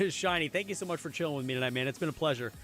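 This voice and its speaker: A young man streaming a game, chatting casually with his viewers, his voice a little hoarse. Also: on the high side, quick pace, a bit bright, soft background music. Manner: chatty, answers chat, repeats phrases. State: slightly hoarse. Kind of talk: casual live-stream chat while gaming.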